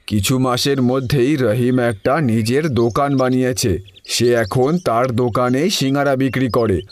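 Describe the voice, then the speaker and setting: A man narrating a scripted cartoon story for viewers. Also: mid-range voice.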